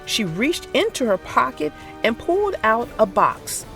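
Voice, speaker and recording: A narrator reading a children's story aloud in an expressive, theatrical and deliberate way. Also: mid-range voice, vocal fry, soft background music. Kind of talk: expressive scripted read-aloud for young children.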